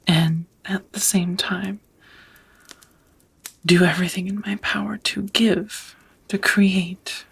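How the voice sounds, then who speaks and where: A woman playing a centuries-old vampire roommate in an intimate ASMR roleplay, tender and melancholy, whispering softly with hesitant, formal wording. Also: mid-range voice, fairly even intonation, takes it slowly, long pauses, heavily acted. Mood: melancholy, ashamed. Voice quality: breathy, whispery, soft.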